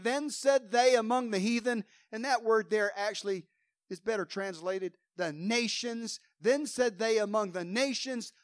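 A man preaching with fervor, his voice pitched high for a man and slightly hoarse. He builds emphasis as he quotes scripture. The sound is dry and close, with no room echo. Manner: fervent preaching, quoting scripture with rising emphasis. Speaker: pastor. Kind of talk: sermon.

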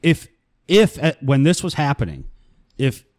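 A man's voice: on the high side, sing-song, measured pace, darkish tone. A man co-hosting a casual talk show, easygoing and often agreeing, with casual swearing. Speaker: co-host. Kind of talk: casual chat.

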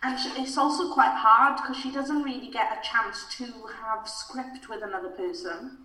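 A woman's voice: a little high, moderate pace, bright, forceful tone, far from the mic. A young woman with a Welsh accent chats openly about her stage work, laughing easily and padding her sentences with fillers. Her voice sits back from the microphone in a roomy, echoing space. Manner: chatty, laughs often, frequent fillers. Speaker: actor, interview guest. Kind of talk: casual interview talk. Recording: echoey room, voice from off mic.

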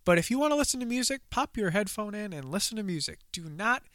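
A man with a bright, ringing, clear voice, chatting casually as a podcast co-host. He talks loosely and trips over words when reading aloud. The sound is close and dry. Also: high-pitched, animated, fast, dry recording. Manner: stumbling over words while reading, laughing.

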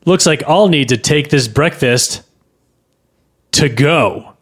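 A man's voice: a little high, expressive, slow pace, fairly bright tone, breathy edge, heavily acted. A man playing himself as an eager, self-satisfied young hero in a comedy table read. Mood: cocky, breezy.

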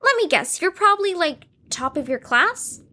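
A woman acts out a very high-voiced, chirpy girl in an audio drama. Her tone is bright and lively, swinging up and down as she politely but firmly shares her opinions. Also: normal speed, heavily acted. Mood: eager, friendly. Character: chirpy, eager, dog-loving young psychiatric patient girl.

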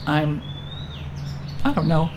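A woman's voice: sits low, animated, takes it slowly, a little mellow, a little hiss or hum. An actress playing a lonely, sluggish older woman who grumbles and wisecracks at her own expense in a stage play. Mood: downcast, wry. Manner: wry, grumbling, self-mocking. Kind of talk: scripted two-person stage comedy-drama.

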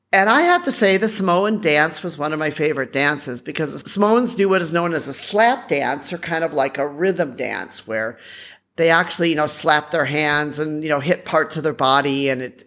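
A woman's voice: sits low, a bit bright. American woman explaining in a conversational way. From United States. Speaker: podcast host. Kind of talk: informal explanatory podcast monologue.

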